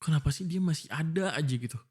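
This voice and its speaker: A man's voice: a little high, quick pace, darkish tone, slightly rough. A young man with an Indonesian accent, voicing a frustrated, pleading boyfriend in an ASMR roleplay.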